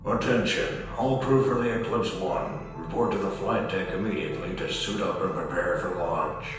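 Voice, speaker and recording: Man acting deep-voiced starship commander giving orders. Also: moderate pace, loud music behind the voice, natural voice, low-quality recording. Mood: stern, businesslike. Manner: authoritative, formal orders. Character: commanding male mission commander briefing a starship crew. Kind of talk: sci-fi audio drama acting.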